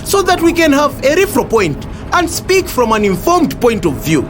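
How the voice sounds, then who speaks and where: A man's voice: extremely high pitch, big pitch swings, normal speed. A Zambian man acting in an audio drama as an earnest, well-informed bus passenger who explains things patiently and emphatically. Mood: earnest, explaining. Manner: emphatic, explanatory, repeats himself. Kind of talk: acted audio drama dialogue.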